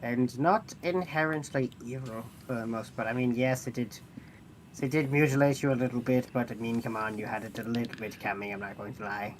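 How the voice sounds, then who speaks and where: A man's voice: mid-range voice, fairly even intonation, a little fast, natural voice. Man playing a haughty nonhuman construct, noisy recording. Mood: matter-of-fact. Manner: condescending, theatrical, hesitant phrasing. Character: haughty, pragmatic nonhuman mechanical adventurer, amused by mortals. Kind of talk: in-character tabletop roleplay.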